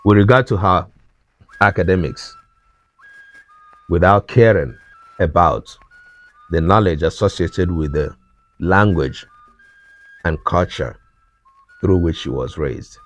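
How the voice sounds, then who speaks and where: A man with a Nigerian accent, a native Igbo speaker, giving an earnest talk on culture in slow, deliberate phrases that trail off. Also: low-pitched, faint music behind. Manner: slow, deliberate phrasing that trails off at sentence ends, with wry chuckles.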